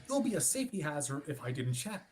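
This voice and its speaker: Officious ship's officer played by an actor, very animated. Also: medium pitch, natural voice. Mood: politely insistent. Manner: formal, wordy, deliberate. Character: officious, insistent ship's watch officer, politely pushy adult. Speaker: actor in a staged reading. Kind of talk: theatrical script reading over a livestream. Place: remote online stream.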